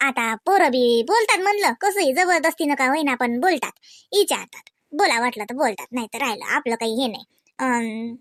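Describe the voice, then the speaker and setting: A woman's voice: high voice, a bit bright, no room sound, clean studio sound. A woman voicing a gossipy, rambling village grandmother in a comedy cartoon, speaking colloquial Marathi with a rural Varhadi accent from Vidarbha. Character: chatty village grandmother grumbling about family, fond of grandchildren.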